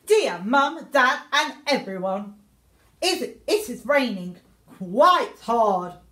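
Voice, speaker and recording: Englishwoman, theatrical children's storyteller as a young meerkat, high-pitched and unhurried, roomy sound. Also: very animated, sharp tone. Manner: exaggerated, theatrical delivery. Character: young male meerkat writing eager letters home. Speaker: teacher reading a picture book aloud. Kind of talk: expressive children's story reading. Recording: echoey room.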